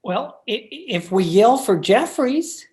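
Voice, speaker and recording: A woman's voice: fairly high pitch, animated, takes it slowly, warm tone. A woman acting a meek, henpecked, frightened husband in an old-time radio thriller, timid and stammering.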